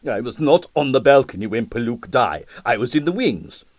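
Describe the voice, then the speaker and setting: Man playing a sulky, halting theatre dresser. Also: mid-range voice, sing-song, dry recording. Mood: wary, insistent. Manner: halting foreign-sounding phrasing, clipped sentences. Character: sulky, jealous, wary male theatre dresser.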